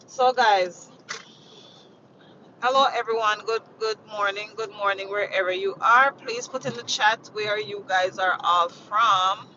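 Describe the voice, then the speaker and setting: A woman's voice: medium pitch, measured pace, pushed and bright, highs cut off, a little hiss or hum. A woman from Jamaica, chatting casually on a livestream, rambling and repeating herself as she greets viewers from inside a vehicle. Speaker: livestream host. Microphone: phone mounted on dashboard.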